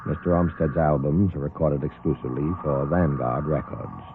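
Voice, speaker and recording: A man's voice: rumbling low, steady tone, moderate pace. An American man announcing a formal scripted sign-off in 1950s radio style. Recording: very noisy tinny 1950s radio.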